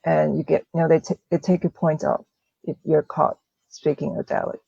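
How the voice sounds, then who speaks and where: Gravelly woman, mellow voice. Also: low-pitched, level tone, no room sound, highs cut off. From Taiwan. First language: Mandarin. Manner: halting, restarts phrases often. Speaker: guest writer. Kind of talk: casual interview chat.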